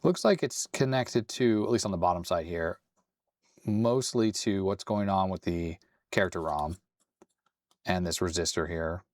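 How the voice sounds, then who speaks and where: A man with a slightly hoarse, raspy voice talks through a hands-on tech project in a casual, thinking-aloud way. His pitch sits a little low, and he sounds tentative and self-deprecating. The recording is dry and close, with almost no room sound. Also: measured pace, a little mellow, no echo. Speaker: host.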